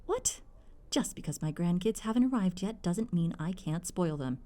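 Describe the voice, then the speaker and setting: A woman playing a doting, bossy mother and excited grandmother-to-be in an ASMR audio drama, fussy and theatrical. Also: lowish voice, moderate pace, natural voice. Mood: brisk, bossy.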